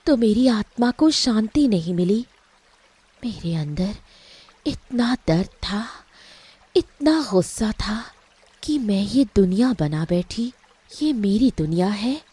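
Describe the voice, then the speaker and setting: A woman voicing the gentle ghost of a wronged young woman, a solemn, soothing pond queen in a cartoon story. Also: medium pitch, husky, dry recording.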